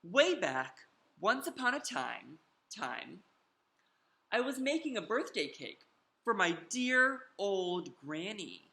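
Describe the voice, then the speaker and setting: A man's voice: sits high, sing-song, slow pace, gravelly, pushed and bright, echoey room, heavily acted. A man voicing a sly, self-justifying wolf who tells his side of a children's storybook with emphatic, confiding delivery. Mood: explaining. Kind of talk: animated children's storybook read-aloud.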